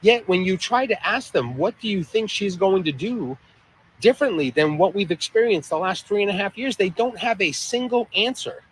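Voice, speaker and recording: A man's voice: high-pitched, expressive, normal speed, a little hiss or hum, low-quality recording. A man giving political commentary in a sarcastic, exasperated way.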